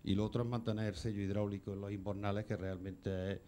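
A man's voice: lowish voice, level tone, quick pace, mellow, a little hiss or hum. A man from Spain in the audience, asking pointed, skeptical questions. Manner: skeptical, insistent. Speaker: audience member. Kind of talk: question from the floor.

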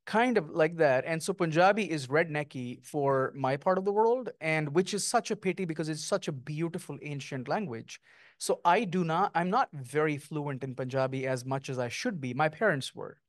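A middle-aged man, a guest author, speaking thoughtfully and lyrically with many pauses at an onstage conversation, on a handheld mic. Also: on the high side, no room sound, clean studio sound. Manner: thoughtful, lyrical, with frequent ums. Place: small stage before an audience, indoors.

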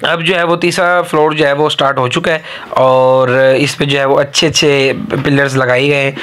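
A man with a Pakistani accent narrating an informal news update as a channel host, in a halting way with repeated filler phrases. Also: mid-range voice, little pitch movement, fairly bright tone, smooth.

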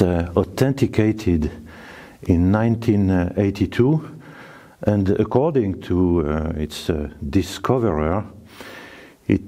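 A man in his sixties with a French accent, a cave owner giving a guided tour to camera, talking slowly and haltingly with many fillers. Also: sits low, mellow. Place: forest outdoors, then inside a small cave.

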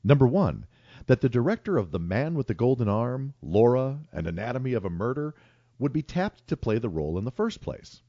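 A man's voice: medium pitch, very animated, brisk, warm tone, dry recording, lo-fi sound. A man hosting a podcast, reading a prepared film segment with wry commentary. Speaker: podcast host.

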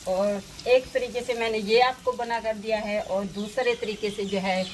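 A woman's voice: mid-range voice, steady tone, moderate pace. Chatty young woman in an outdoor courtyard. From Pakistan. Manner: chatty, explains each step to viewers, heavy use of filler phrases. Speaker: village life vlogger. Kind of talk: casual cooking vlog narration. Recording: outdoor camera audio with clear background noise. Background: outdoor ambience with birds and animals.

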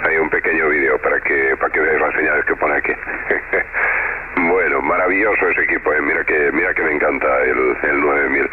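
A man with an accent from Spain, chatting casually as an amateur radio operator over the air. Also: rumbling low. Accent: Spanish from Spain. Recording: dull radio audio with clear static.

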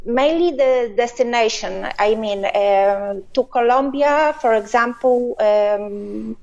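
A woman, a company founder answering interview questions in halting English full of fillers and restarts. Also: fairly high pitch, creaky, darkish tone, dull audio.

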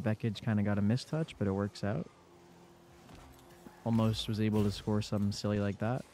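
A man's voice: fairly low pitch, mellow, slight background noise. A young man with a congested voice giving casual commentary over his own gameplay in a laid-back play-by-play. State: congested from a cold. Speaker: gaming YouTuber.

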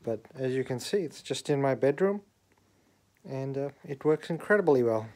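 A man giving a casual, deliberate hands-on explanation as a radio hobbyist, recorded indoors on a handheld camera. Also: mid-range voice, expressive, darkish tone, a little hiss or hum. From Australia. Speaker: hobbyist radio enthusiast demonstrating a homemade antenna. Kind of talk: casual video demonstration. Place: indoors in a bedroom. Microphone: handheld camera, held by the speaker.

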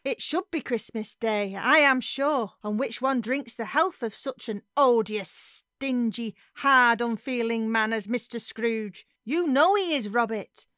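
Lady playing a feisty caring mum in an old fashioned drama, fairly high, close dry sound. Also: measured pace, hardly any pauses, a bit bright, no room sound. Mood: indignant. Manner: emphatic, old-fashioned phrasing. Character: warm-hearted, outspoken working mother, quick to indignation. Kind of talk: audio drama acting.

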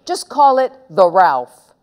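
Woman doing a cocky, bold young boy, animated. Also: medium pitch, slow pace. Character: rude, bold young boy, gleeful prankster. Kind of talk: children's storybook character voice.

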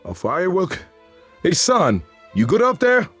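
A man acts a fatherly middle-aged Southern leader of a survivor team in a video game. He is gruff and bossy, fairly high for a man and very animated, over music. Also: a little slow, exaggerated voice. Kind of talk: video game character voice lines.

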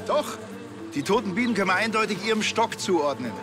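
Higher-voiced grumbling Bavarian policeman. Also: animated, fairly quick, noisy background. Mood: knowing. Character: grumbling, shrewd, know-it-all Bavarian male policeman. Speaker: police officer. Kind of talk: TV crime comedy acting.

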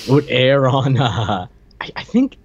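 An American man in his thirties or forties chatting casually as a podcast co-host, halting and dryly joking. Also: medium pitch, husky, dry recording, highs cut off. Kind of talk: casual pop-culture chat. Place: room at home, on a video call. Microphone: desk microphone up close.

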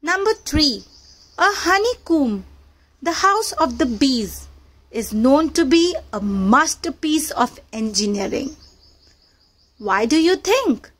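High-pitched woman reading slowly to children. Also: sing-song. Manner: teacherly, addressing children with questions. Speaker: storybook narrator for children. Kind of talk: read-aloud children's story narration.

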